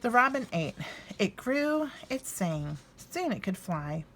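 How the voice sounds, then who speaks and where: Woman reading kids storybook, really animated, dramatic pauses, pitch all over. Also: slight background noise. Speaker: storybook reader. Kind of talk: expressive children's storybook narration.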